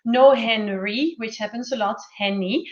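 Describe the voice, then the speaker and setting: A woman's voice: on the high side, muffled recording. A woman in her forties with a Dutch accent, introducing herself on a panel with frequent restarts and fillers. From Netherlands. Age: 40s. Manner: halting, many restarts and fillers. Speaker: panel guest, pharma executive.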